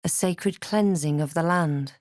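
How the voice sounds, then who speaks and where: Casting: brave, wry female spellcaster for fantasy game, lowish pitch, dramatic delivery, very dry studio sound. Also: measured pace, a little mellow, no echo. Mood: brooding, dramatic. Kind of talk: video game voice lines, dramatic narration and spell chants.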